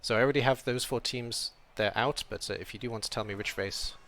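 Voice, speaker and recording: A young British man from Wales with a slight European tilt to his accent, chatting casually while he streams a game, reading chat and thinking out loud. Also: medium pitch, fast talker. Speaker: streamer playing a video game. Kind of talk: casual live-stream commentary.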